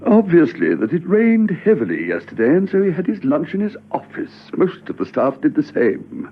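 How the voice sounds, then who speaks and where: An actor in a radio drama playing a fussy, irritated office official who speaks formally, curtly and defensively. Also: male voice, a little high, big pitch swings, normal speed, husky, full character voice. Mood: strained politeness. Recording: thin phone-like old radio recording.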